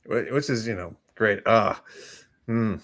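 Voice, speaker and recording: An American man with a slightly low-pitched voice. He talks casually as a show co-host, in an easygoing and joking manner. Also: unhurried, fairly bright tone. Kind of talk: casual chat.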